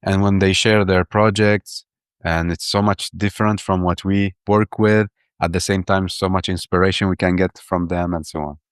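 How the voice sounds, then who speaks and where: A man's voice: sits low, steady tone, normal speed, clean tone, dry recording, clean studio sound. A man in his thirties from Iraq, a guest on a podcast, talking with enthusiasm and often restarting and repeating his words. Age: 30s. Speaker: podcast guest, urban planner. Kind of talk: casual interview talk.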